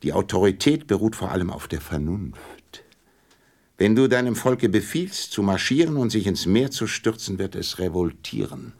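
A deep-voiced old king in a radio play. He is pompous yet kindly, swooping up and down as he hands out royal commands, sometimes faltering into stammers. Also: very animated, normal speed, darkish tone, exaggerated voice. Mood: pompous, commanding. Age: older.

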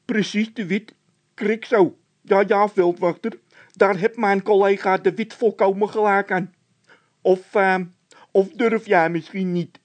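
A man's voice: high voice, fairly even intonation, unhurried, pitched above the performer's own voice. A man voicing a whiny, jittery workman in a children's audiobook, pushy, easily frightened and full of exclamations. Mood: goading. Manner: insistent, exclamatory.

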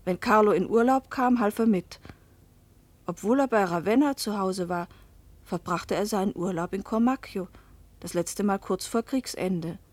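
Actress as self-willed wife, dry close sound. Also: female voice, mid-range voice, normal speed, darkish tone, no room sound, close to an everyday voice. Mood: reflective. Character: restless, self-willed fisherman's wife recounting her past. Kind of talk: first-person radio play narration and acting.

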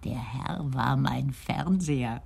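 An actress playing a frail, lonely, frightened old woman in a radio crime drama, rambling in halting, simple sentences with a husky voice. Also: rumbling low, darkish tone, full character voice. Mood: confiding. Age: older. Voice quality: husky, creaky, breathy. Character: frightened, frail, lonely old Dutch widow, chattering about neighbours.

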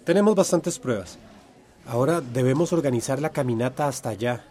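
An actor playing an organized, cautious community protest leader in a radio drama, speaking in a measured, earnest way. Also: male voice, mid-range voice, mellow, natural voice. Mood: serious, resolute.

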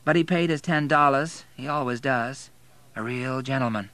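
A man's voice: mid-range voice, normal speed, fairly bright tone, dry recording, pitched above the performer's own voice, lightly acted. A man voicing a brash young female prostitute, teasing and blunt, in an audiobook. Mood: offhand, mocking.

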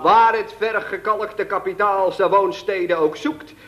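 A pompous young agitator. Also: male voice, high-pitched, steady tone, measured pace, a bit bright. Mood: earnest. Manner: grandiose archaic rhetoric. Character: zealous young male activist, pompous orator. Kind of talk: 1960s radio comedy acting. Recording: dull lo-fi archive radio recording.

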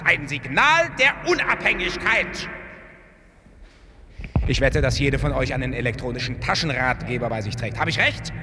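An actor playing a fervent rebel musician-leader who rallies devotees with impassioned oratory in a science-fiction radio drama. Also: male voice, mid-range voice. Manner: impassioned oratory, swinging from hushed to shouted. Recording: reverberant hall, loud background noise.

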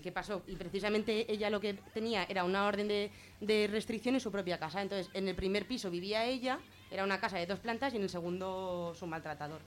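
Female radio guest, Spain accent, medium pitch. Explains in everyday words, halting and thinking aloud, unscripted. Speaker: guest explaining gender-violence legislation on a radio show. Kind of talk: informal explanatory talk.

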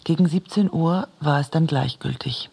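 Husky, creaky-voiced man reading. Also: a little high, normal speed, a little mellow. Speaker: reader in a radio drama. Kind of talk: literary narration, radio play.

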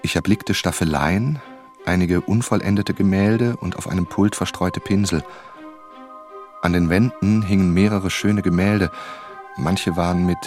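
Narrating investigator, deep, intent storytelling over clear music, radio drama. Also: male voice. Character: male writer narrating his own investigation. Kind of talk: scripted first-person radio drama narration.

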